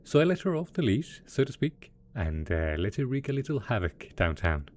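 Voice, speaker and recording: A man's voice: medium pitch, big pitch swings, normal speed, a little mellow, slight background noise, close to an everyday voice. A man playing a gleeful, smug and possessively doting mad scientist supervillain boss in a theatrical, playful roleplay audio drama. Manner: theatrical, playful, mock-innocent, chuckling.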